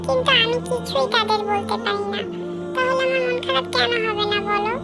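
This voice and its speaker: A woman's voice: very high voice, steady tone, fairly quick. A woman voicing a whiny, insistent little boy who pleads with his parents in a cartoon. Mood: sulky. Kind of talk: scripted cartoon dialogue. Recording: loud music behind the voice.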